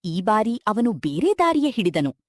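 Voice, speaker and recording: A woman's voice: medium pitch, very animated, unhurried, no room sound, clean studio sound. A woman reading a scripted moral story as narrator.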